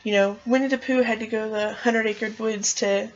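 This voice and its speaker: A woman's voice: on the high side, fairly even intonation, moderate pace, dull audio, a little hiss or hum. A young woman chatting eagerly and casually as an applicant answering questions in a job interview. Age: young adult.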